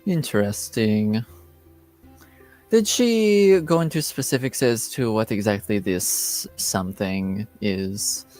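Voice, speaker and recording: Slow, measured fantasy lord acted by a man. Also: on the low side, expressive, a little mellow, soft background music. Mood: guarded, measured. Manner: formal, measured, deliberate.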